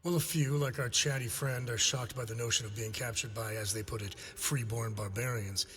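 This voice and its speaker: An actor playing an analytical military intelligence officer in a game cutscene, speaking in a formal, explanatory manner. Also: male voice, medium pitch, steady tone, moderate pace, a bit bright, breathy edge, in a large hall. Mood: measured, informative.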